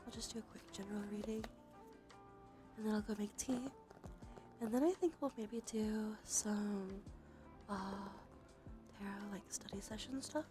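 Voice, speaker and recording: A woman hosts a cozy ASMR stream in a soft voice that often slips into a whisper. Her pitch sits in a middle range. Clear music plays behind her. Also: moderate pace, pauses often.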